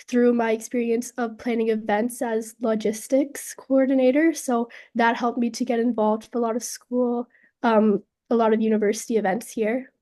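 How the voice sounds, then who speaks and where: A young woman, a university student panelist, answering casually and a little hesitantly, her voice hoarse from a cold. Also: a little high, mellow. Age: young adult. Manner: hesitant, frequent fillers and apologies.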